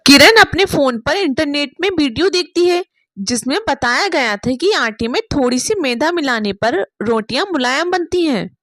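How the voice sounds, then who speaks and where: A woman narrates a moral story from a script in a high-pitched voice. The sound is very dry, with hardly any room on it. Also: animated, normal speed, fairly bright tone, no echo.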